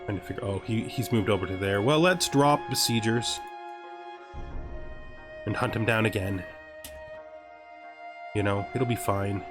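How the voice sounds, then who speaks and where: A man's voice: on the low side, measured pace, long pauses, music in the background. A man commentating casually on a video game he plays, thinking aloud and reading text from the screen. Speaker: gameplay streamer.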